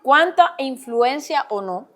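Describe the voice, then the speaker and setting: A woman hosting an interview, asking questions and reading out audience questions in a lively, playful way. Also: sits high, takes it slowly. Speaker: interviewer host.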